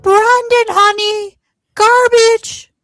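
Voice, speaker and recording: Shrill, very high-pitched nagging mom played by a woman, slow drawn-out exasperated calls, radio play, close dry sound. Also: level tone, fairly bright tone, no room sound, soft background music, heavily acted. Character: shrill nagging mother of a teenage boy. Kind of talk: live radio play read.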